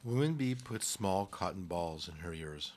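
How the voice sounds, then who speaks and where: A man with a fairly low voice serves as narrator of a radio play. He reads scripted stage directions between scenes. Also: lively intonation, unhurried.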